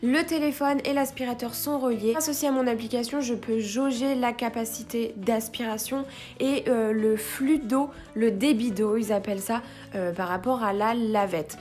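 Young mom in her 20s chatting through her cleaning vlog, kinda high voice, all excited, faint music under it. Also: moderate pace. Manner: chatty, enthusiastic product praise. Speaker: vlogger, young mother. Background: faint background music.

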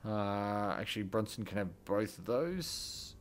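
A man's voice: lowish voice, lively intonation, unhurried, a little hiss or hum. A man giving casual let's play commentary as a gaming host, muttering through his decisions as he plays. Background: game music.

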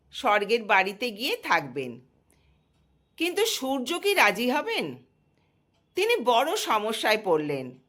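A woman storyteller narrating a scripted mythological tale in an expressive, dramatic manner. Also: fairly high pitch.

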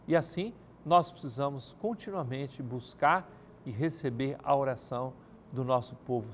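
Older male cleric, formal and measured, seated at a studio desk, faint background hiss. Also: mid-range voice. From Brazil. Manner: measured, formal pastoral address. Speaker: archbishop answering viewers' questions. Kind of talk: televised pastoral talk. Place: TV studio set. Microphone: studio mic, seated at desk.

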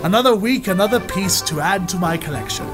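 An actor playing a boastful, smug gentleman explorer in a 1930s-style radio serial, with a pompous, theatrical delivery. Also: high voice, animated, fairly quick, over music. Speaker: radio drama lead.

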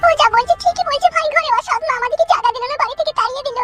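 Meek newlywed village wife for cartoon, very high and rapid, deferential. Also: male voice, pushed and bright, soft background music. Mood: plaintive. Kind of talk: comic cartoon dubbing.

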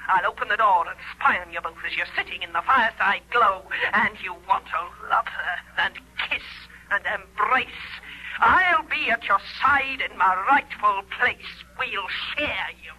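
Cackling, sharp-voiced old troll hag. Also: female voice, medium pitch, lively intonation, measured pace, slightly rough, exaggerated voice. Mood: menacing. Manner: taunting, menacing. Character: spiteful old troll hag, jilted and mocking. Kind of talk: 1940s radio acting. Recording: noisy, thin vintage 1946 radio recording.

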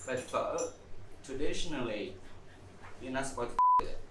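A man's voice: mid-range voice, lively intonation, moderate pace. A man giving an informal talk to a group, in the role of a restaurant owner introducing an event. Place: small bar, indoors. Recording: echoey room, distant mic, background noise.

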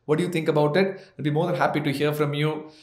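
A man's voice: fairly high pitch, little pitch movement, fast. A man in his thirties from India with an Indian accent, giving health advice to camera as a doctor, listing points and slipping in light jokes. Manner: gently joking, lists points one by one. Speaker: doctor, health channel host. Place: office with framed certificates, indoors. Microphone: seated, medium shot to camera. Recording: echoey office room.

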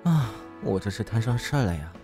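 A man's voice: on the low side, big pitch swings, a little fast. A man playing a cheeky, boastful young man with a tender side, in an amateur audio drama. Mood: grumbling, exasperated. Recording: music under the voice.

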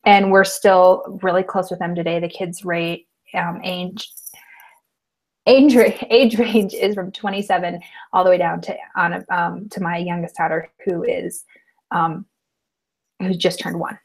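A woman's voice: fairly low pitch, fairly even intonation, unhurried, low-quality recording. A woman in her thirties from Colorado, co-hosting a podcast in casual chat and laughing often, recorded through an earbud headset on a video call from a small room at home. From Colorado, United States. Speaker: podcast co-host.

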